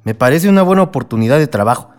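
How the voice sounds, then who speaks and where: A man's voice: mid-range voice, lively intonation, very fast, faint music behind, close to an everyday voice. An actor playing a practical, unflustered middle-aged village father with a Mexican accent in a radio drama. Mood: guarded, polite.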